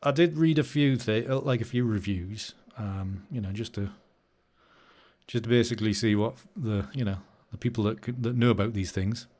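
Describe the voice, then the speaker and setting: A man's voice: on the low side, normal speed, a little mellow. A man hosting a live stream, chatting casually and rambling while he edits photos, often laughing and answering comments from chat. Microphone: new studio microphone.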